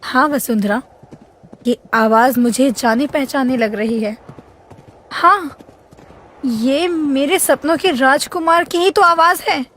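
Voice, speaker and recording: High-voiced, slow-speaking lovesick young princess. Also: female voice, fairly bright tone, a little hiss or hum. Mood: excited. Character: lovesick, wistful young princess in a fairy tale. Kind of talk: animated fairy-tale voice acting. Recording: long hall-like reverb.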